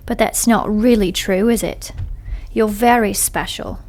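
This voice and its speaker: An actress playing a controlled, dangerous gun-toting nun in an audio drama, speaking in a terse, formal way. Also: female voice, medium pitch, steady tone, a little slow, darkish tone, lightly acted. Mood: cool, guarded.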